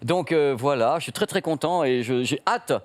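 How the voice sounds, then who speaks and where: A man who is the tech columnist on a live stream, chatting and joking in an excitable way. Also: a little high, moderate pace, dry recording, studio quality. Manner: excitable, jokey storyteller. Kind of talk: casual chat on a live stream.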